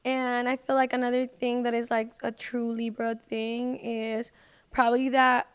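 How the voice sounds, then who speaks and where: A woman's voice: a little high, level tone, measured pace, smooth. A woman guest on a podcast, chatting casually and informally, with lots of "like" and "you know". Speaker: podcast guest, singer and social media personality. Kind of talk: casual chat.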